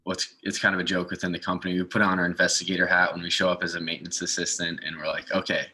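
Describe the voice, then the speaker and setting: Deep, bright-voiced man explaining his work. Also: flat delivery, very fast, slightly rough. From northeastern United States. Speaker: guest speaker, garden maintenance assistant. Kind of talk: informal interview, explanatory talk.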